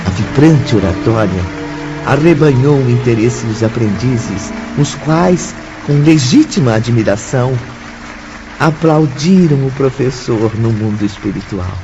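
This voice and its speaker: A formal storyteller narrating a spiritual radio drama. His delivery is measured and literary, mid-pitched, in a dull recording with clear music behind. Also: expressive. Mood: formal, admiring.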